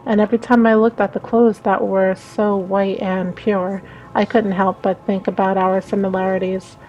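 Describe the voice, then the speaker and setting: A woman's voice: mid-range voice, level tone, normal speed, darkish tone, soft background music, natural voice, rough recording. A woman voicing a lonely, wistful female passenger in a visual novel, musing and hesitant. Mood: melancholy. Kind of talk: visual novel read-aloud.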